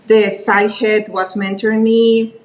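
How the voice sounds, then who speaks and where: A middle-aged woman from Colombia who learned English as an adult, speaking with a Colombian Spanish accent as an interview guest. She tells stories warmly and often restarts her sentences. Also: medium pitch, flat delivery, measured pace. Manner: warm storytelling with frequent restarts. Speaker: guest, quality executive. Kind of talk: conversational interview. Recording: roomy echo, faint background noise.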